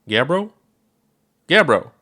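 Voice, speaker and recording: An earnest, fretful young astronaut from a storybook reading, voiced by a man. The voice sits noticeably higher than the narration and pushes forward with eager, anxious questions. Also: very animated, slow pace, bright, forceful tone, full character voice. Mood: urgent.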